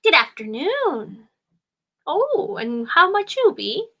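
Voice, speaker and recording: A woman voicing an odd, excitable old woman who flutters and drops into hushed whispers. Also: high voice, takes it slowly, gravelly, voice pushed up, full character voice. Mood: excited.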